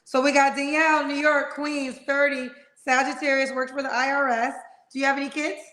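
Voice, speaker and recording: Bright, high-voiced woman interviewer. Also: flat delivery, roomy sound, rough recording. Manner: brisk, calls the guest baby and honey. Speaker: show host interviewing a caller. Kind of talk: matchmaking show interview.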